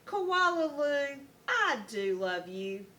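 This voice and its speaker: A bright, high-pitched mother koala, played by a woman reading a storybook, tender and doting. She speaks in a drawn-out, affectionate sing-song. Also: sing-song, exaggerated voice. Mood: loving, tender.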